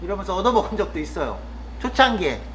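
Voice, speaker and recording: A man from Korea's Chungcheong region talking casually and reflectively to the camera, pausing often with fillers as he muses. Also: high voice, sing-song, a bit bright, a little hiss or hum, low-quality recording. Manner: thoughtful, musing, with frequent pauses and fillers. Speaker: vlogger living in the countryside.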